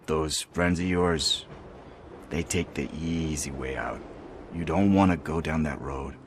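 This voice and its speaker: An actor playing a streetwise, gruffly caring police officer in a video game, with a wry, blunt, scolding manner. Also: male voice, extremely low pitch, unhurried, a little hiss or hum. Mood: stern, caring.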